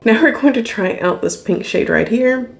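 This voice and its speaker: A young woman vlogger, slightly raspy from being sick, chatting candidly through a makeup review in a home studio. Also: fairly high pitch, animated, moderate pace, faint music behind. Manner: chatty and candid, laughs often while applying makeup. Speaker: beauty vlogger. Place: indoor home studio room.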